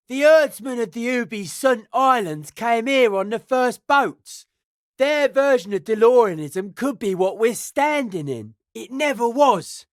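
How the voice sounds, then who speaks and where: An actor voicing a suspicious, anti-authority young underground raver for a video game, talking in a cryptic, slangy, conspiratorial way. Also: male voice, sits high, no room sound, studio quality. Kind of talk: scripted video game character dialogue.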